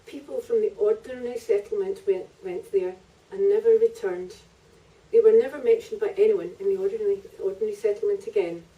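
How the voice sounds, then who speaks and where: A woman's voice: medium pitch, fairly even intonation, brisk, dark tone, highs cut off. A woman author reading narrative prose from her own book at a live event, picked up by a distant microphone. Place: live festival reading in a library venue.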